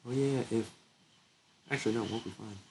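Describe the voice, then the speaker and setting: A man's voice: on the low side, brisk, gravelly, dark tone, a little hiss or hum. A young man with a stuffy nose, getting sick, chatting casually through his gameplay commentary, laughing often and thinking aloud. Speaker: gaming channel host.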